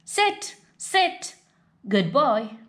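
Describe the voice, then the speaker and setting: A woman's voice: high-pitched, very animated, very slow, a bit bright, pitched above the performer's own voice, exaggerated voice. A woman voicing a proud, independent cartoon dog from a picture book, self-satisfied, bossy and affectionate. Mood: excited, coaxing. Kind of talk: children's picture-book read-aloud.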